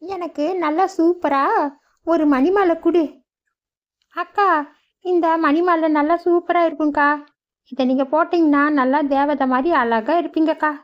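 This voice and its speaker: A woman voicing a pushy little village girl selling beads in a cartoon, flattering and wheedling. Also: high voice, normal speed, long pauses, dull audio, natural voice. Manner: wheedling sales talk. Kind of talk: cartoon voice acting.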